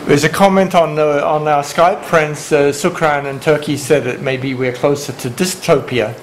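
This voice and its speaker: A man moderates a seminar discussion and relays comments from remote participants, with frequent pauses and fillers. His voice is recorded in an echoey room. A noisy background sits beneath it. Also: on the high side, steady tone.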